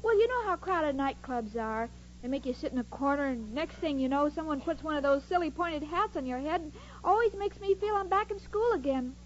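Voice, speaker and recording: A woman's voice: sits high, animated, brisk. An actress playing a sweet, naive, dim-witted young woman in a 1940s radio comedy, guileless and earnest. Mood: guileless, cheerful. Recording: dull, noisy old radio recording.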